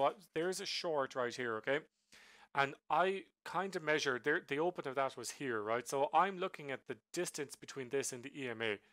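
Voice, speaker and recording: A man with a bright, forceful voice talks through his trades in a loose, rambling way, full of ums and restarts. He is getting over a flu. The sound is dry and close. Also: medium pitch, no room sound. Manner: rambling, many ums and self-corrections. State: sick with a flu, voice recovering. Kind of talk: casual screen-share trade recap.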